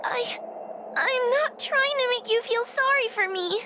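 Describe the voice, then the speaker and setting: A woman's voice: extremely high pitch, unhurried, heavy background noise. A woman voicing a timid, anxious, lonely young fox girl in a video game, hesitant and plaintive. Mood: hurt, pleading. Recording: music under the voice.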